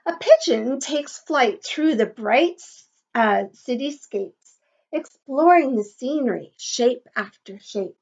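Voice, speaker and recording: A woman's voice: high-pitched, animated, slow pace, creaky, a little mellow. A woman teacher reading a picture book aloud to young children, encouraging them with questions and sing-song asides, on a slightly dull recording.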